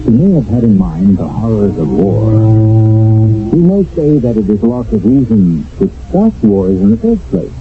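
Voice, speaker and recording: An older American man narrating a radio mystery drama as host, in a solemn, deliberate, theatrical way. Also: on the low side, very animated, vocal fry. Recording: muffled phone-like old radio recording with music. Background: dramatic music.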